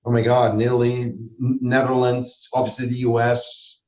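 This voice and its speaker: Male interviewee, medium pitch, conversational delivery, slightly muffled sound. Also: level tone, moderate pace, darkish tone. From Switzerland. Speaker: interview guest, hypnosis trainer and school owner. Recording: roomy echo, slightly dull sound.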